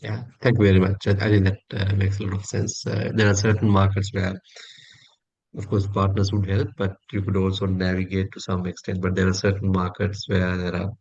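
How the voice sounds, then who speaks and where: A man hosting an interview podcast, speaking in a deep, level voice and building his questions slowly with fillers. The audio is dry and a little dull, as if from a modest mic. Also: monotone, a little mellow, breathy edge, dry recording. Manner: measured, hesitant, with "uh" and repeated words. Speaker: podcast host.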